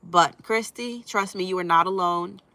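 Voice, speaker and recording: Woman, middle-aged, relationship coach, stuffy from a cold, bright tone, dry close sound, reassuring chatty manner. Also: fairly low pitch, normal speed, no room sound. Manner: reassuring, conversational, frequent "right?" and "you know". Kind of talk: live stream chat reading viewer comments.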